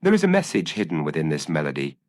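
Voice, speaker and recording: A man's voice: rumbling low, huge pitch swings, quick pace, dry recording, clean studio sound, exaggerated voice. A man voicing a brilliant, intense Victorian detective in an audiobook, speaking in a precise, commanding and formal way. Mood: grave, intent.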